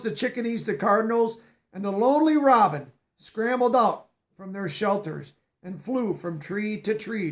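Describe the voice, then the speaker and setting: A man reading a picture book aloud with expression, stopping now and then to explain words for young listeners. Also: high-pitched. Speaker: storybook reader.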